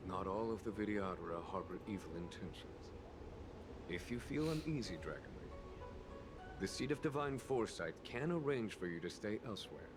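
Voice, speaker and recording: A man voicing a calm, shrewd general with a composed, formal and authoritative delivery for a video game. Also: on the low side, moderate pace, music in the background, natural voice. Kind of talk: dramatic game voice acting.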